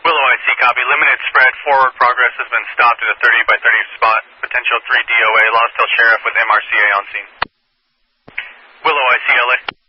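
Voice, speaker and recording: Fast-talking male radio dispatcher. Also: medium pitch, steady tone, highs cut off. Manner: clipped, matter-of-fact, repeats details back. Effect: two-way radio. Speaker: fire department radio dispatcher. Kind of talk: procedural emergency radio dispatch. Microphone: radio dispatch channel. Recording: noisy band-limited scanner radio, clicks.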